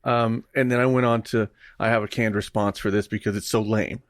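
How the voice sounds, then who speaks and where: A man's voice: on the low side, fairly even intonation, fairly quick, dry recording, studio quality. A man co-hosting a casual podcast, ranting bluntly and reading out poll figures. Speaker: podcast co-host. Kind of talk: casual chat.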